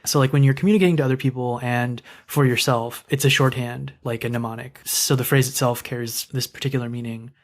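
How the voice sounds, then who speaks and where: Fast-talking man chatting on a podcast. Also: medium pitch, fairly even intonation. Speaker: podcast co-host. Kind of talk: casual analytical chat about writing.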